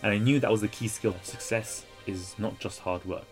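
A man's voice: on the low side, moderate pace, soft background music. A man in his thirties with a London accent, a guest author talking casually with many fillers and easy laughs. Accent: London English. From Iraq. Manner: energetic, laughs easily, chatty with fillers. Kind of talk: casual interview talk.

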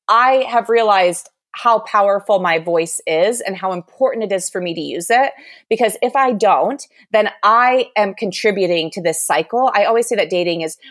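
A woman's voice: medium pitch, dry recording, studio quality. A young adult woman telling a candid, exasperated dating story with lots of "like" in a casual chat.

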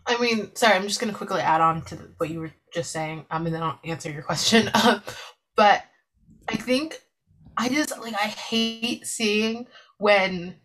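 A young woman co-hosting a casual podcast, chatty and animated, often laughing as she talks. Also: medium pitch, normal speed, poor audio. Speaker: podcast co-host.

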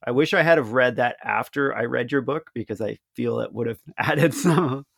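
Man, host, gravelly, dry recording, enthusiastic, rambling questions. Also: mid-range voice, moderate pace, a bit bright, no echo. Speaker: podcast host. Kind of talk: conversational interview.

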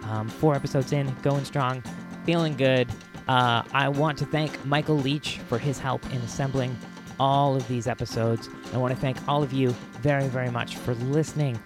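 A man's voice: mid-range voice, fairly even intonation. Enthusiastic male podcast host over music. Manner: rambling, halting, enthusiastic. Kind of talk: casual solo podcast outro. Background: music under the voice.

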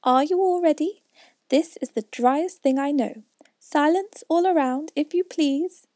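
A woman voicing a fussy, self-important male mouse who lectures the others in a raised, high voice. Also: unhurried, no echo, clean studio sound. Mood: pompous. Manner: pompous, lecturing, high and loud.

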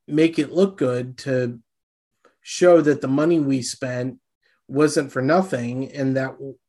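Male co-host, mid pitch, earnest fan talk, rallying tone. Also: a little mellow. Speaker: podcast co-host. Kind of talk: casual sports chat.

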